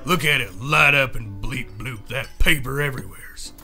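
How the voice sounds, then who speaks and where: Man playing a gravelly, brash Texan tycoon. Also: mid-range voice, normal speed, bright, forceful tone, soft background music. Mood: greedy, pushy. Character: boorish, pushy, greedy Texan former billionaire. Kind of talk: comic radio-play acting.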